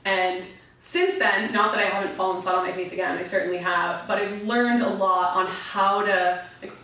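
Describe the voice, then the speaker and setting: A woman's voice: mid-range voice, moderate pace. A woman in her thirties, a beverage entrepreneur, telling stories as a guest in a casual interview, with frequent restarts. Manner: animated storyteller, frequent restarts. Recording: echoey room, mic at a distance.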